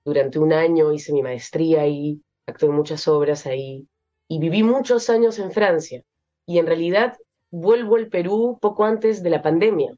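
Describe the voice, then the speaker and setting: A young Peruvian woman with a Peruvian Spanish accent, an actress being interviewed, speaking thoughtfully with many pauses and fillers. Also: low-pitched, dark tone, dull audio. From Peru. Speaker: actress guest. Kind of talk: interview conversation.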